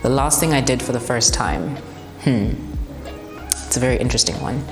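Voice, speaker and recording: A young woman with a very deep, commanding voice speaks frankly, laughing between answers. The room is echoey, with a long reverb tail and faint music behind her. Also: expressive, moderate pace, creaky. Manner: blunt, laughs often. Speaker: broadcast journalist and TV presenter. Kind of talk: interview answers, relaxed and frank.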